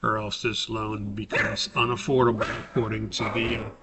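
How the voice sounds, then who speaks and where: An American man giving an informal, step-by-step software tutorial as a course instructor, pausing mid-sentence as he explains. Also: fairly low pitch, little pitch movement, heavy background noise. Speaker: course instructor recording a tutorial for his class.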